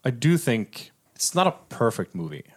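A man's voice: medium pitch, sing-song, normal speed. A man co-hosting a film podcast, talking casually and analytically, often hedging his points.

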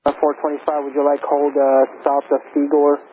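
A man's voice: on the high side, monotone, moderate pace, phone-quality sound. A man working as an air traffic controller, speaking in clipped standard phraseology over an aviation radio.

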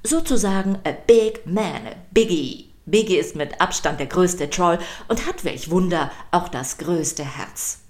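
A woman's voice: lowish voice, expressive, measured pace, few pauses, darkish tone. A woman hosting a children's podcast, reading scripted narration in a playful, emphatic storytelling manner.

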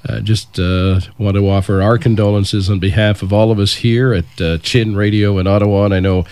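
A man hosting a radio talk show, speaking in a halting way with many fillers. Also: lowish voice, fairly even intonation, darkish tone, smooth. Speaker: radio host. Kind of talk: radio interview. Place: radio studio.

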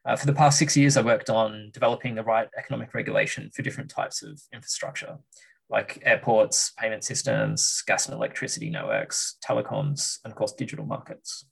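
A man in his thirties with an Australian accent, explaining policy as a podcast guest in a measured way, with frequent ums and uhs. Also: lowish voice, lively intonation, vocal fry, breathy edge, rough recording. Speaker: research fellow, podcast guest.

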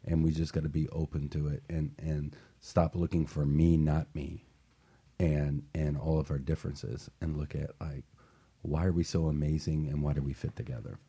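A man's voice: extremely low pitch, flat delivery, moderate pace, dark tone, husky. A man hosting a casual panel discussion, talking in an earnest, conversational way with emphatic repetition. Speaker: talk show host.

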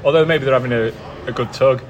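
A man's voice: mid-range voice, quick pace, music in the background. A man co-hosting a casual podcast chat outdoors, wry and teasing. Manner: wry, teasing, laughs at his own jokes. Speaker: podcast co-host. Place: outdoors by a canal before an away match. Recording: busy crowd noise behind. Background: crowd noise.

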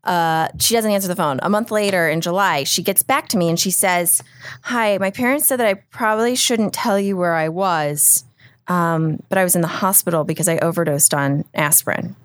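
A woman's voice: on the low side, moderate pace, dry recording. A woman from Texas telling a personal story in a chatty, laughing way with self-deprecating asides. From Houston, Texas. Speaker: storyteller guest. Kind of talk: casual storytelling chat.

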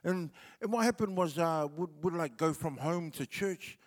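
A middle-aged man with a New Zealand accent and Samoan as his first language tells a loose, rambling comic story on stage. His voice sits a little high for a man, and long reverb from the hall trails behind each phrase. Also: expressive, normal speed. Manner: laid-back and rambling, with many fillers. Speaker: comedian. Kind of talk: stand-up storytelling.

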